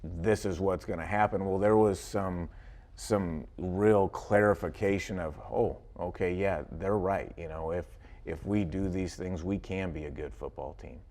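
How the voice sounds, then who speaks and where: A man's voice: low voice, unhurried, darkish tone. A man with an American accent, a football coach talking conversationally in a sports interview, with folksy wording and repeated phrases.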